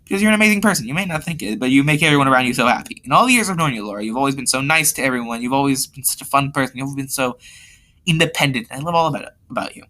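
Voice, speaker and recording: An earnest, cautious boy from a school story voiced by its reader. He is polite and a little anxious, with a bright tone and animated pitch. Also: rapid-fire. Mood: sweet, reassuring.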